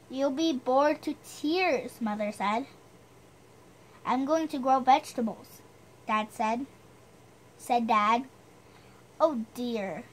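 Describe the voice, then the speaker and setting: A woman reading a storybook aloud with chatty, self-deprecating asides, stumbling over the odd word. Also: fairly high pitch.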